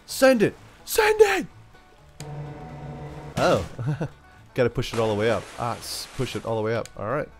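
A man hosting a gaming channel, chatting playfully through a let's-play, stuffed up from a cold and now and then yelling out excited bursts. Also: mid-range voice, a little slow, pauses often, soft background music. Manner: playful, talks to himself, yells bursts like "send it". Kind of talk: casual let's-play commentary.

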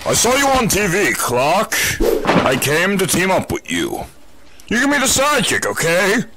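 A man's voice: high-pitched, wildly swooping pitch, moderate pace, very noisy, full character voice. A man playing a big, naive, blithely cheerful superhero in a hammy comic-book dub, bombastic in manner. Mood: gleeful, bombastic. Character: dim, blithely cheerful, oversized male superhero in clumsy disguise.

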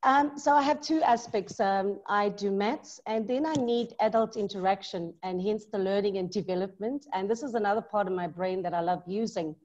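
A middle-aged woman from South Africa with a South African accent, talking in a lively, emphatic way as a maths tutor in a video call interview from her home study. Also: medium pitch, normal speed, hardly any pauses. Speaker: maths tutor and business owner. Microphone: laptop mic on video call. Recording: muffled video call audio.

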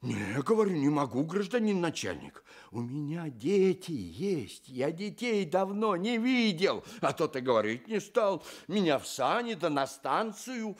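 A man's voice: fairly high pitch, sing-song, a little slow, hardly any pauses. An actor playing a pious, sorrowful old village stove-builder in a radio play, telling his story earnestly with folksy sighs. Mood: protesting, pained. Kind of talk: Soviet radio play acting.

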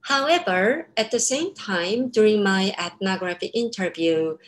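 A woman in her thirties, a native Korean speaker with a Korean accent, giving a measured academic webinar lecture over a video call, with frequent ums. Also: medium pitch, lively intonation. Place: home room. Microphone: laptop webcam on a video call.

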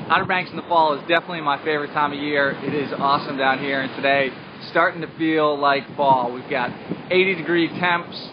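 Cheerful man on a breezy beach. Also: a little high. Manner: cheerful and easygoing, listing conditions. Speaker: vacation rental host giving beach report. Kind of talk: upbeat casual report to camera. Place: sunny beach outdoors. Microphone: phone camera at arm's length. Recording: dull lo-fi phone audio with wind and surf noise.

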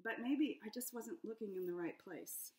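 A middle-aged woman reads her picture book aloud with playful, expressive storytelling. Her voice sits a bit high, and the room around her is echoey, heard through a webcam. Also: moderate pace. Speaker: author reading her book. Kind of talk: storybook read-aloud narration. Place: home room with high ceiling.

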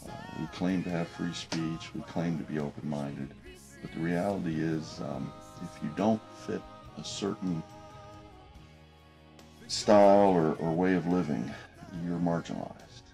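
Very deep-voiced man reflecting in his office. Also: creaky, mellow, faint music behind. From United States. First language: French. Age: late middle age. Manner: thoughtful, halting, many fillers and restarts. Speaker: business professor. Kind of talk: reflective documentary interview. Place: office with desk and window.

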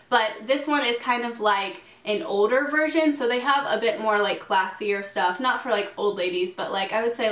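A woman's voice: fairly high pitch, fairly bright tone, echoey room. A young woman vlogging to camera from her bedroom, chatty and upbeat, full of fillers, still getting over a cold. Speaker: fashion vlogger. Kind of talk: casual haul vlog to camera. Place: small bedroom, indoors.

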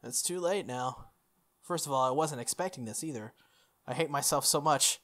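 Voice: mid-range voice, normal speed, a bit bright. A game player reading a visual novel aloud, narrating and dropping in casual reactions. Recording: music under the voice.

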